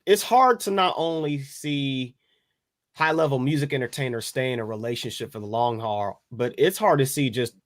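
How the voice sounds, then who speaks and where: A man co-hosting a podcast, giving casual commentary in a halting, explanatory way. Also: medium pitch, no echo, clean studio sound. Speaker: podcast co-host.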